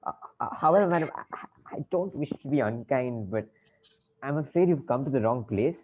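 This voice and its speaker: A man's voice: medium pitch, lively intonation, normal speed, lightly acted. An actor playing a fussy, exasperated bank manager in a comic play, stammering politely as his patience frays. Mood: polite, strained. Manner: stammering, fussy, over-polite turning frantic. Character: flustered, exasperated male bank manager, polite but fraying. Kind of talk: comic stage-play acting. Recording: tinny band-limited audio, faint noise.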